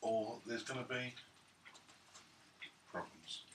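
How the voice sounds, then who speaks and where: A man voicing a male rogue adventurer who whispers quiet threats. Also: slow. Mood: menacing. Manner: low, quiet, menacing whisper. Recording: roomy room, mic far off, background noise.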